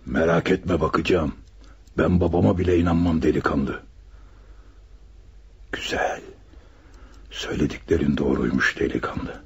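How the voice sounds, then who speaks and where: Gravelly, menacing male gang boss. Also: extremely low pitch, fairly even intonation, a little slow, pauses often, exaggerated voice. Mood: suspicious, greedy. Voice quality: gravelly, husky. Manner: threatening, condescending, swaggering. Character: menacing, greedy, distrustful male gang boss and robbery mastermind. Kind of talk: Turkish radio drama acting. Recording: muffled lo-fi radio drama recording.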